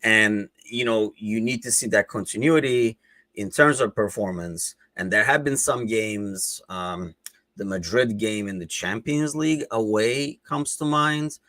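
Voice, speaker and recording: A man's voice: fairly low pitch, measured pace, a bit bright, dry recording. A man with a Turkish accent, speaking English as a second language, hosting a casual football chat in a rambling, conversational way full of fillers. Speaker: podcast host.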